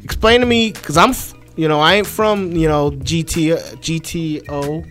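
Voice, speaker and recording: A man's voice: fairly high pitch, normal speed, fairly bright tone, soft background music. A man co-hosting a radio show, chiming in with playful, joking banter. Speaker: radio co-host. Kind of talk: casual radio interview.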